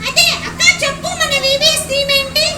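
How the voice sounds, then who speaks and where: A woman's voice: extremely high pitch, flat delivery, brisk. A woman voicing a cheeky, chatty little kid puppet in a live puppet show, teasing and sing-song. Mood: playful. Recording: echoey, distant, noisy hall.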